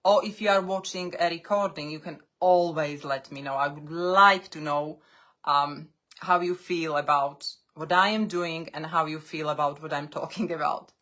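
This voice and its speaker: A woman coaching English learners on a live stream. English is her second language, and she speaks deliberately in short phrases with pauses. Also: deep voice, a bit bright. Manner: non-native English speaker.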